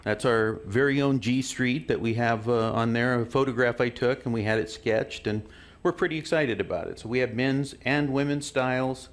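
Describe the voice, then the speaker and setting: A man of middling pitch talks up his shop's shirts at a council meeting. He is upbeat and promotional. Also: moderate pace. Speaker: shop owner. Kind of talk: public comment.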